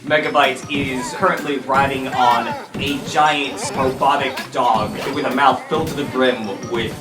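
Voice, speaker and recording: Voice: mid-range voice, steady tone, measured pace. A game master narrating an improvised superhero tabletop game and putting questions to the players. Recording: roomy, distant mic, music behind.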